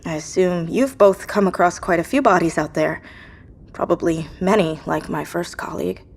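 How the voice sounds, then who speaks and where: A woman's voice: on the low side, normal speed, gravelly. A woman playing an isolated, anxious survivor in an audio drama, with a hesitant, earnest, questioning delivery. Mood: uneasy, curious.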